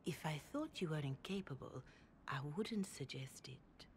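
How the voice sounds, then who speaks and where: An actress playing a calm, devout, middle-aged priestess who gives gentle, measured counsel in a fantasy game. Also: female voice, sits low, a little hiss or hum. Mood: hopeful, measured. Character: calm, devout, compassionate senior priestess, motherly and grave. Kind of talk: scripted fantasy game dialogue.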